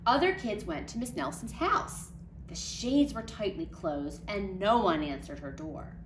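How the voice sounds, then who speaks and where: A woman, a nursery school teacher, reading a children's storybook aloud in an expressive, dramatic way. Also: mid-range voice, measured pace. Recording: roomy echo, faint background noise.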